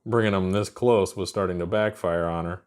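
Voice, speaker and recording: A man narrating a scripted story in an expressive way. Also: sits low, moderate pace, clear, resonant tone.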